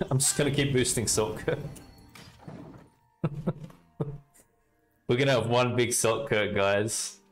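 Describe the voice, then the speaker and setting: A young Australian man casually commenting on his card game and chatting with viewers, relaxed and talkative. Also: mid-range voice, slow pace, pauses often, faint music behind. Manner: relaxed, chatty, talks to chat while playing. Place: indoor room at a desk.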